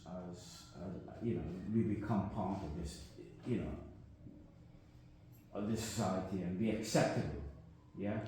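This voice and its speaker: A man's voice: fairly low pitch, mellow. An older man from Guyana with a Guyanese accent, reflecting in a rambling casual discussion and often asking "you know what I mean?". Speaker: discussion guest. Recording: echoey room, faint noise.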